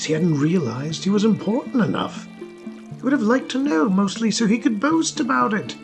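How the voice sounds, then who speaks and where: A man's voice: fairly high pitch, low-quality recording. A man reading scripted narration for a fantasy story, as its narrator.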